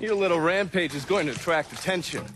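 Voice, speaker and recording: Casting: man as breezy, scheming American fixer, highish voice, very animated intonation, video game drama over music. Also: quick pace, noisy background, natural voice. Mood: wry scheming. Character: sardonic, scheming male fixer who relishes revenge. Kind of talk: dramatic game cutscene acting. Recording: music under the voice.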